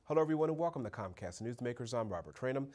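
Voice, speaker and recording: Brisk, polished male TV host in his forties, smooth studio delivery on a lapel mic. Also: mid-range voice, animated, a little mellow. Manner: polished, smooth, leading questions. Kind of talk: studio interview. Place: TV news studio.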